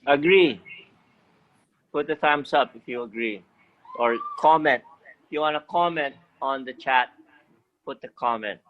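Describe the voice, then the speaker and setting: A man moderating an online meeting, speaking in a rallying style and putting questions to the group. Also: mid-range voice. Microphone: video call. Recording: dull, lo-fi video call audio.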